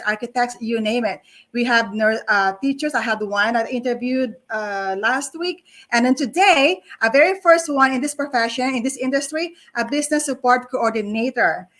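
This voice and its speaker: A woman in her fifties hosting an online interview show, speaking in a deliberate, welcoming way with many fillers. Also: fairly high pitch, a bit bright. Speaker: online talk show host.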